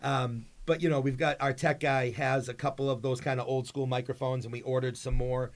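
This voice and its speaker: Medium-pitched man, casual interview guest. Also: little pitch movement, a little fast. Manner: conversational, peppered with "you know". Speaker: school drama advisor, interview guest.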